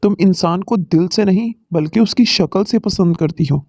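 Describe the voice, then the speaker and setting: A man's voice: high-pitched, moderate pace, a little mellow, no room sound, clean studio sound. A man voicing a loyal, protective young college man who stands by his friend in a cartoon moral story. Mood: indignant.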